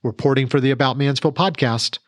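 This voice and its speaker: A man's voice: mid-range voice, fairly quick, slight background noise. A man reading a scripted instructional segment as a podcast reporter.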